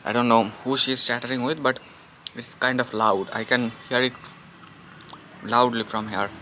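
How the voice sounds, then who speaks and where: A man's voice: mid-range voice, flat delivery, normal speed, sharp tone, slight background noise. Chatty Bengali man vlogging outdoors. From India. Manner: rambling, repeats himself, chatty asides to viewers. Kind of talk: casual vlog while eating outdoors. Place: public place outdoors.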